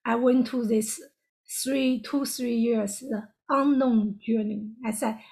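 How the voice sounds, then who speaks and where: Halting high-voiced woman with a gravelly edge. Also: fairly even intonation, a little mellow, poor audio. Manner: halting, searching for words, broken grammar. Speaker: guest. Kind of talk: personal story in an interview.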